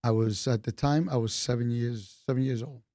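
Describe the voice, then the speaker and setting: A man in his fifties with a New York accent, born in Colombia, telling stories from his life in a relaxed, chatty way as an interview guest. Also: medium pitch, fairly quick, no room sound, clean studio sound. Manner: relaxed, chatty, laughs between points. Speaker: retired police officer, interview guest. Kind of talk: casual storytelling in an interview.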